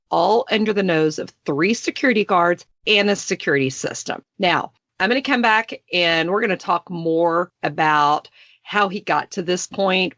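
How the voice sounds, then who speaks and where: A woman's voice: fairly low pitch, fairly bright tone, no room sound. Texan woman in her forties, podcast host, playful and self-deprecating, dry booth sound. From Texas. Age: 40s. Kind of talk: casual podcast chat.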